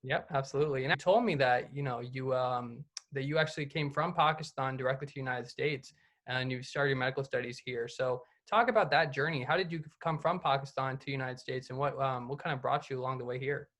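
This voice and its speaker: A man's voice: mid-range voice, steady tone, brisk, fairly bright tone. A young man in his twenties hosting an interview podcast, talking in a friendly, conversational way with a few fillers. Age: 20s. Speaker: podcast host. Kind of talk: casual interview.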